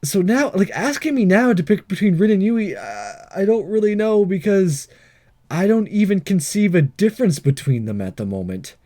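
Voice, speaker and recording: Male let's-play host: animated, slightly high but soft-edged voice, casual and wry, thinking aloud while playing. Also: moderate pace. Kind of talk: casual game commentary, reading text aloud.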